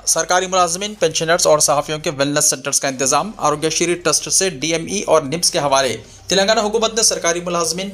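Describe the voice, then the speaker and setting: Male news reader, slightly high-pitched voice, formal delivery of scripted bulletins. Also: bright, forceful tone.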